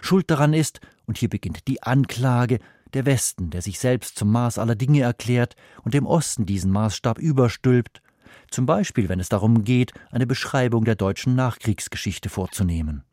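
A man, a book critic, reading his scripted radio review in a steady, analytical way. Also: mid-range voice, normal speed, no room sound, studio quality.

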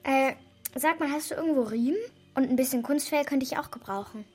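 High-pitched, eager young girl played by a woman. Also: brisk, darkish tone, soft background music, close to an everyday voice. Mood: evasive. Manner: eager, conspiratorial. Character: resourceful, secretive young girl devoted to her dog. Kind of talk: children's radio drama.

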